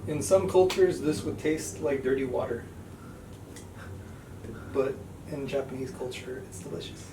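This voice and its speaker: A man co-hosting a podcast in casual chat, giving dry, brief deadpan replies. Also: mid-range voice, unhurried. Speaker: podcast co-host. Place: home studio room. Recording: echoey room, mic far off, background noise.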